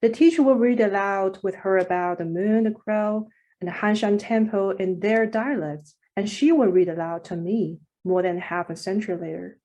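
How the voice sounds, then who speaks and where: A woman's voice: medium pitch, moderate pace, warm tone, low-quality recording. A woman, a Mandarin speaker, reading a reflective prepared commentary as a poet on a panel over a video call. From China. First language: Mandarin Chinese.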